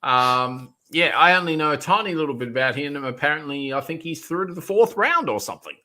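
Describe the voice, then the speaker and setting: A man's voice: on the high side, animated, fairly quick, sharp tone. A man hosting a podcast in casual chat, rambling with many ums and playful restarts. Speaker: podcast host.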